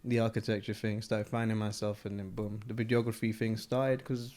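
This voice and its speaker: A young man from Colombia, chatting casually as an interviewee, relaxed and rambling with frequent laughs. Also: fairly low pitch, fairly quick.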